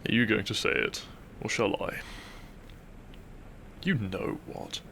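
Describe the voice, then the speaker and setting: A man's voice: on the low side, lively intonation, takes it slowly, vocal fry, sharp tone, slight background noise, heavily acted. A man playing a depressed, dry-witted young man in an intimate audio roleplay, speaking with hesitant, awkward teasing to his best friend. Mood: shy, teasing.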